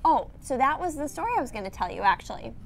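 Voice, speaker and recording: A woman's voice: sits high, very animated, fast, vocal fry, a little hiss or hum. A woman in her twenties with an American accent, a news show co-host chatting casually on air. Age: 20s.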